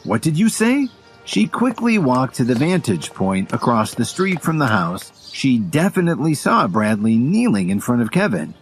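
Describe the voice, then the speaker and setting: Male narrator for scripted audio drama, expressive range, faint music bed. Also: mid-range voice, moderate pace, few pauses.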